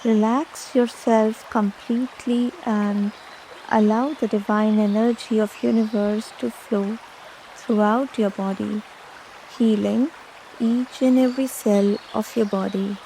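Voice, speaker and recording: A woman leading a guided meditation, giving soothing, measured instructions with long pauses between phrases. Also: a little high, fairly even intonation, warm tone, a little hiss or hum, poor audio. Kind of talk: slow guided meditation narration.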